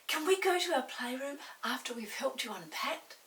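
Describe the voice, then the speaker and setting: A woman's voice: high voice, expressive, normal speed, fairly bright tone, pitched above the performer's own voice. A woman voicing an eager, earnest schoolboy with a secret in a children's storybook. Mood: eager, pleading. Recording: hissy, distant room microphone.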